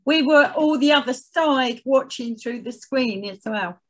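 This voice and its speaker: Slow, high-voiced woman, thoughtful. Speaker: podcast guest, charity chief executive. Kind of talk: casual reflective storytelling in an interview.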